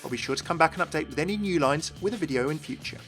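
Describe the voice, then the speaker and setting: A man's voice: fairly high pitch, lively intonation, racing, a bit bright, soft background music. A man hosting a scripted game lore explainer, addressing viewers directly and with enthusiasm. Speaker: video host, game lore commentator.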